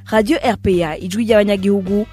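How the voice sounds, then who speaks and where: A woman's voice: medium pitch, fairly even intonation, a little fast, dark tone, breathy edge. Woman announcing a radio show over music. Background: faint music.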